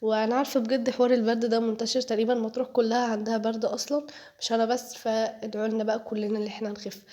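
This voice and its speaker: A teenage girl with an Egyptian Arabic accent rattles through her vlog voice-over at a breakneck clip. Her voice sits a little high and sounds stuffy from a cold. She keeps it chatty and jokey, talking right to her viewers. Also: level tone, rapid-fire, dark tone. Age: teens. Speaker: vlogger, high school student.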